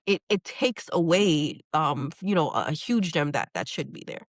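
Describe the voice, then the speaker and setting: A woman's voice: low voice, lively intonation, moderate pace, no echo, clean studio sound. A middle-aged woman, a poet speaking in an interview, thoughtful and earnest, often restarting and repeating words as she thinks. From New York. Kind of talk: reflective interview conversation.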